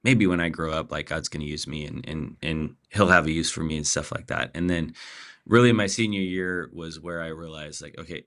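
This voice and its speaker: A man's voice: rumbling low, brisk, a bit bright. A man in his forties from Pakistan, a podcast guest talking casually with many fillers and restarts. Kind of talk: casual interview chat.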